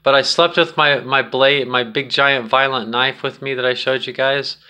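Casual vlogger, male, middle-aged, slightly high bright voice, candid storytelling into a handheld phone. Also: fairly even intonation, moderate pace. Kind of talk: casual personal vlog storytelling. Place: small indoor room at home. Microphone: phone at arm's length.

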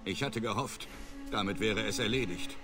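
An actor playing a disgraced middle-aged starship captain and father, evasive and self-justifying, speaking in a formal, measured way in a video game. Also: on the low side, natural voice. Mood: defensive. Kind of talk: video game voice acting, German dub. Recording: loud music under the voice.

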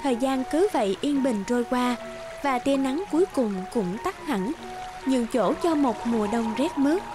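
A woman's voice: high-pitched, expressive, moderate pace. A woman narrator reading a scripted fairy tale for a cartoon. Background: background music.

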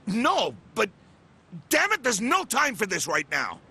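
Game role: brusque middle-aged cop and desperate dad, sharp, forceful, swinging widely in pitch. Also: male voice, huge pitch swings, a little slow, gravelly, slight background noise, full character voice. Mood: urgent, angry. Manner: brusque, commanding, urgent. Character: gruff, hot-tempered middle-aged detective and desperate father. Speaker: police detective character. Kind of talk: dramatic game voice acting.

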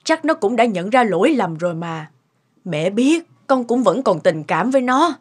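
Woman acting a husky, wise elderly mother. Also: medium pitch, sing-song, normal speed. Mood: gently chiding. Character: gentle, wise elderly mother counselling her daughter. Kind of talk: cartoon melodrama dubbing.

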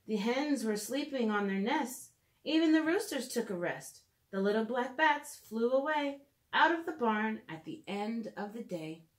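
A woman in her thirties reading aloud and leading a drawing lesson for preschoolers in a clear, simple storytelling manner, recorded in a room at home. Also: a little high, expressive, measured pace. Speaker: children's educator reading and drawing. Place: small room at home, indoors.

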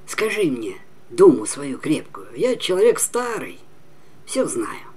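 A knowing old peasant woman from a storybook, as an elderly man plays her. She coaxes and instructs insistently in a husky voice. Her speech is slow, with big rises and falls. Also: fairly high pitch, sing-song, a little mellow, full character voice. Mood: coaxing.

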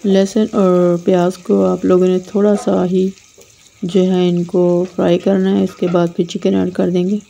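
Brief: Pakistani woman cooking host, dark gravelly tone, plain step-by-step instructions. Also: lowish voice, flat delivery, measured pace, a little hiss or hum. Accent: Pakistani Urdu. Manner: plain, repetitive instructional delivery. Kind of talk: step-by-step recipe voice-over. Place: home kitchen.

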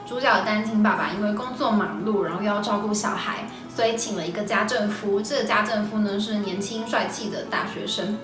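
A woman's voice: medium pitch, a little fast, few pauses. A woman in her twenties with a Taiwanese Mandarin accent, hosting a scripted recommendation vlog. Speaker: video host. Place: small indoor room. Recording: echoey room, slightly distant mic. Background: background music.

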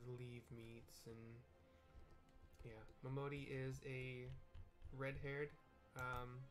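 A man's voice: medium pitch, a bit bright. A middle-aged man giving casual, informal gameplay commentary with visual descriptions, a bit self-deprecating, recorded in a small room. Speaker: game streamer. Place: small room indoors at home.

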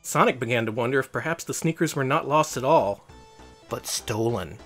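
A man's voice: medium pitch, expressive, normal speed, fairly bright tone, soft background music. A man reading a children's picture book aloud as host, in storybook narration.

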